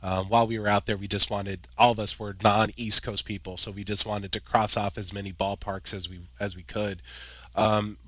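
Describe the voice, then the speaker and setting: A man's voice: on the low side, dry recording, poor audio. A young man, a podcast guest, telling personal stories in a vivid play-by-play way. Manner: vivid, excited play-by-play retelling with laughs. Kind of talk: animated personal storytelling.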